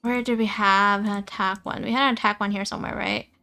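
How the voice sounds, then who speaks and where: A woman's voice: medium pitch, steady tone, fast talker, bright, forceful tone. A woman hosting a gaming livestream, thinking aloud casually and chatting informally with her viewers, often repeating herself. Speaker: livestream host. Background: music.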